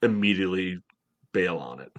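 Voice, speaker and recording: American guy with a low voice chatting sports on a podcast call, keeps hesitating and saying you know. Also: expressive. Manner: hesitant, halting delivery, heavy use of "you know". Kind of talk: casual sports discussion. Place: home room, indoors, on a video call. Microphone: headset on video call.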